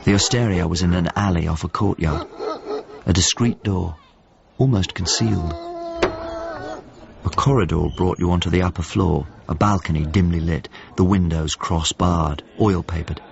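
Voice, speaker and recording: An actor playing a thoughtful painter's model, narrating a radio drama in measured, descriptive phrases. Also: male voice, low-pitched, very animated, creaky, background noise, heavily acted. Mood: reflective. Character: thoughtful male painter's model grieving a lost friend. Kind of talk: radio drama, reflective first-person narration. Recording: muffled audio with music underneath. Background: music.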